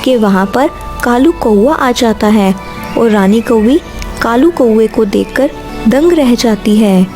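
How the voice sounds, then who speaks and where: A woman's voice: fairly high pitch, slow pace, dark tone, faint music behind. A woman narrating a scripted children's cartoon story.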